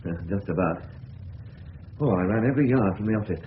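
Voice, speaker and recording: A man's voice: lowish voice, expressive, fairly quick, natural voice. An actor playing a hearty, affectionate middle-aged English father in a radio drama, bluff and chummy. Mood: concerned, bluff. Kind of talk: 1970s radio play acting. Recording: tinny, hissy old radio broadcast.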